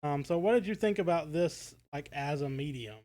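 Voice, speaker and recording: A man's voice: on the high side, moderate pace, a little mellow. An American man co-hosting a podcast in casual discussion, feeling his way through his thoughts in hesitant, circling phrases. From United States. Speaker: podcast co-host.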